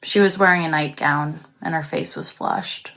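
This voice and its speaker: An actress playing a warm-hearted, excitable young woman who tells her own story in an expressive, confiding dramatic monologue. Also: low-pitched, normal speed, vocal fry. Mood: confiding, wondering. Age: young adult. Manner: expressive, confiding, breaks into small laughs. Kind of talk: dramatic first-person monologue.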